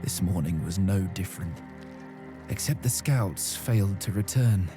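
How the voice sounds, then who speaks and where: A man's voice: low voice, expressive, measured pace. A man playing a devout, brooding medieval warrior, narrating a quest solemnly in a video game. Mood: grim. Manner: solemn, pious. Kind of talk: dramatic scripted narration in a game. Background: music.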